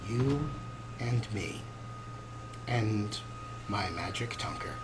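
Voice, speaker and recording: A man's voice: fairly low pitch, animated, extremely slow, noisy background. A man hosting a gaming show with casual let's-play commentary, talking in a wry, rambling way.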